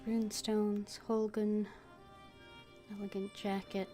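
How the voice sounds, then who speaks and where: Female game streamer, medium pitch, in-character lines and casual play commentary, light background music. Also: completely flat delivery, takes it slowly, warm tone. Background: faint game music.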